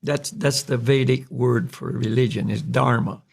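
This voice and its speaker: An American man from North Carolina, a podcast host calmly explaining spiritual ideas in a reflective, unhurried way. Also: mid-range voice, creaky, slightly rough. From North Carolina, USA. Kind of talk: spiritual discussion.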